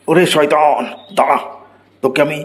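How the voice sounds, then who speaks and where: A man's voice: on the high side, a little hiss or hum. A man playing an exasperated cartoon teacher. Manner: scolding, easily exasperated. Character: exasperated adult male schoolteacher.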